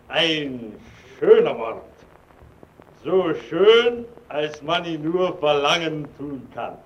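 A gloating court official. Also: male voice, a little high, slight background noise, exaggerated voice. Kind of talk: 1930s radio drama acting. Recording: dull old radio broadcast recording.

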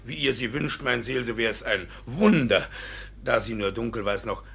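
An actor playing a scheming, fawning middle-aged village judge in a classical German radio play, muttering obsequiously and blustering when cornered. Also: male voice, medium pitch, expressive, normal speed, creaky, fairly bright tone, breathy edge, full character voice.

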